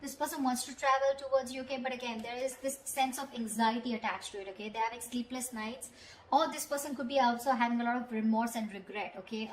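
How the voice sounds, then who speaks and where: A woman giving an improvised tarot reading, talking directly to the listener in a conversational way and often checking in. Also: fairly high pitch. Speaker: tarot reader. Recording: echoey room with faint background noise.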